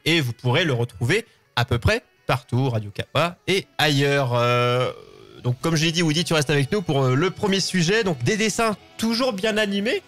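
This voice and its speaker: A man's voice: on the high side, moderate pace, a bit bright. Guy in his 20s hosting a chatty live show, stammers and rambles, bit of music behind. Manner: rambling, stammering and repeating words. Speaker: host of a live open-line show. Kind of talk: casual live show chat.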